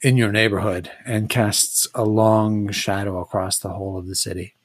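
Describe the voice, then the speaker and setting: A game master narrating a tabletop role-playing game in a descriptive way, pausing to search for words. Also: low voice, steady tone, no echo.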